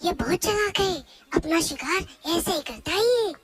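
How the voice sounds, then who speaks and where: Woman as a high-voiced protective cartoon monkey. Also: animated, normal speed. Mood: warning. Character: protective young monkey friend, cautious and kindly. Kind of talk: children's cartoon story acting.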